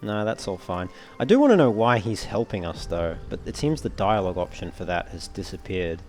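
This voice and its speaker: A man giving casual, low-key let's play commentary, musing aloud as he plays. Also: sing-song, fairly quick, darkish tone. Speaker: gaming video host. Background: game music.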